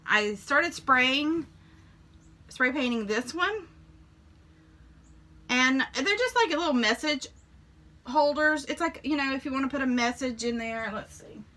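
A woman's voice: medium pitch, sharp tone. Chatty woman showing a haul. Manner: chatty, homey, with frequent ums. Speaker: reseller showing a flea market haul. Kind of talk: casual show-and-tell vlog. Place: small room indoors with shelves.

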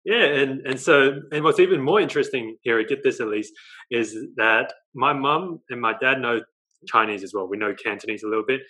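Young man, australian accent, bright tense voice, keeps asking right, video call. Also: mid-range voice, moderate pace, poor audio. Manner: animated storytelling, gestures a lot, tags lines with "right?". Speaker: guest, dating coach. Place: living room at home.

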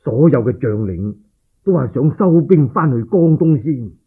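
A man's voice: mid-range voice, lively intonation, moderate pace, slightly rough, phone-quality sound. A male Cantonese storyteller voicing a cautious, respectful army deputy in a radio tale, speaking carefully. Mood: apologetic, careful. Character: cautious, deferential male army deputy reporting to his commander.

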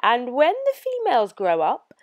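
A wise old island grandmother from a storybook, voiced by a woman reader. She explains gently and knowingly, with calm assurance, in a dry, close recording. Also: a little high, moderate pace, dry recording, voice pushed up.

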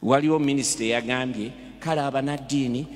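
Impassioned Ugandan priest in echoing church. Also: male voice, medium pitch, steady tone, fast talker, soft background music. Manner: impassioned, rhetorical questions, emphatic exhortations. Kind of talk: sermon. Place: large church. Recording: reverberant church hall.

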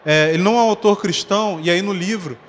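A man in his thirties preaching a conference sermon on a dark church stage with a handheld mic, conversational, explaining slowly with humorous asides. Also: sits high. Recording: long hall reverb, faint noise.

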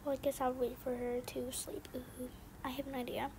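A young female performer voicing a resentful, sneaky young girl in a game roleplay, often muttering in a breathy half-whisper with casual slang. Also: high voice, flat delivery, moderate pace. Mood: scheming, sulky. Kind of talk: improvised game roleplay storytelling. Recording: noisy home recording with clicks.

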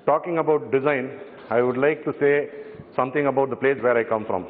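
A middle-aged man with a Tamil Indian English accent, speaking in parliament from notes, with frequent pauses and fillers. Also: medium pitch, fairly even intonation, a little hiss or hum. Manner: hesitant, many fillers and restarts, glancing at notes. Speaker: member of parliament. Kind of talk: parliamentary speech. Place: large parliament chamber. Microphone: desk microphone from standing. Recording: echoing hall, phone-like broadcast audio.

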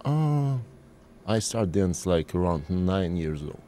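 A man's voice: low voice, very animated, takes it slowly, dark tone, a little hiss or hum. A man in his forties from Kazakhstan, a dance studio owner who gives short, hesitant answers in as a guest in a TV studio. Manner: short hesitant answers in non-native English. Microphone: desk mic.

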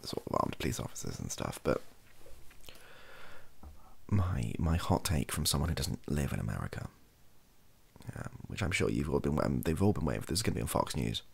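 A British man around thirty giving improvised, softly spoken comedic commentary in a rambling, dryly humorous way, often interrupting himself. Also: very deep voice, big pitch swings, a little fast, gravelly, long pauses, slightly rough. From Wales, UK. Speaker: comedy ASMR video creator. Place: small cluttered bedroom or home office, indoors.

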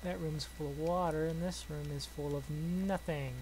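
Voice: mid-range voice, fairly even intonation, normal speed, a little mellow, slight background noise, rough recording. A gamer giving casual, playful let's play commentary, chatting to the things and enemies in the game. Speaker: gamer narrating own playthrough.

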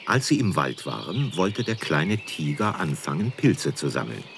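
A man with a gravelly voice narrating a scripted children's story. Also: rumbling low, very animated, moderate pace, background noise.

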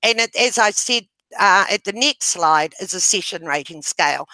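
A middle-aged woman from New Zealand giving a webinar over a video call with a headset, explaining a method in a loud, gesturing way. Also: medium pitch, lively intonation, normal speed, no echo, low-quality recording. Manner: loud voice, gestures while talking. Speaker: webinar presenter. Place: home room, indoors.

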